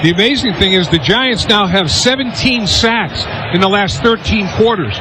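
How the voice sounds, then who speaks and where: An American man giving unscripted colour analysis of a live football game, halting and repeating words as he explains. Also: high-pitched, animated. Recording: noisy live stadium radio broadcast.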